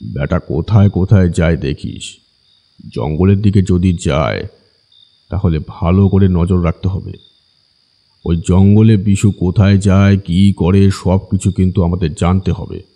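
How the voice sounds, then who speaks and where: Deep bossy village schemer, played by a man. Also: fairly even intonation, a little slow, mellow. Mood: scheming, commanding. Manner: commanding, scornful. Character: Basanta, bossy, scheming village strongman giving orders.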